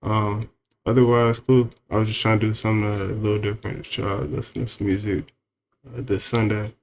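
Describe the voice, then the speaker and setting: A man's voice: fairly low pitch, little pitch movement, fairly quick, vocal fry, a little hiss or hum. A man streaming, talking to viewers in a laid-back, rambling way with many fillers. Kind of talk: casual stream chat.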